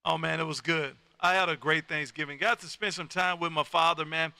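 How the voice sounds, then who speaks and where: A man's voice: on the high side, fairly even intonation, brisk, vocal fry, sharp tone, clear, resonant tone, dry recording. A folksy, exuberant man, chatting casually as a ham radio host who peppers his talk with "man". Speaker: amateur radio operator and live stream host.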